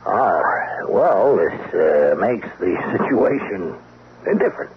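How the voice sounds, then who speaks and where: An actor playing an affable but probing police lieutenant in a radio drama, talking casually and hesitantly in slangy phrases. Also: male voice, sits low, sing-song, takes it slowly, exaggerated voice. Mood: softening, awkward. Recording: tinny band-limited radio, clear noise.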